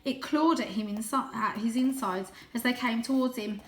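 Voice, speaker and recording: A woman reading a children's story aloud in an expressive, suspenseful, animated way. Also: fairly high pitch, normal speed, reverberant. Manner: animated, suspenseful, with gasped asides. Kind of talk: dramatic, expressive audiobook-style story narration.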